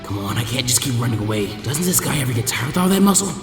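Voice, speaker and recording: A man voicing a lazy, sarcastic young male ninja who talks in a low, weary drawl. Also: big pitch swings, quick pace, over music, lightly acted.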